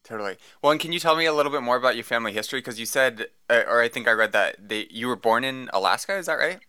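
Fast, bright-voiced male interviewer. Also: medium pitch, animated, clear, resonant tone, no room sound, clean studio sound. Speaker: interviewer, reporter. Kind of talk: interview questions.

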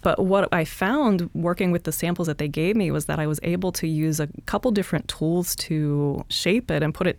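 A woman, an artist and teacher, talking softly and casually in an interview, with lots of fillers and small laughs. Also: sits low, no echo. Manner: soft-spoken, chatty with many fillers and light laughs.

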